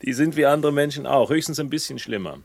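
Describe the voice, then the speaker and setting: An actor playing a wry, middle-aged investigator who narrates and talks in a German radio play, sardonic, literary and dryly teasing. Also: male voice, fairly high pitch, fast talker, creaky. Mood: curious, charming. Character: wry, world-weary male investigator and narrator, flirtatious, philosophical. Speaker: lead actor and first-person narrator.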